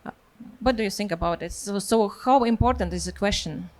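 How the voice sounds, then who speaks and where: A woman whose first language is Latvian interviewing a guest on stage in English, pausing often with fillers. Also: medium pitch. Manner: hesitant, many fillers, careful non-native phrasing. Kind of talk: conference interview questions.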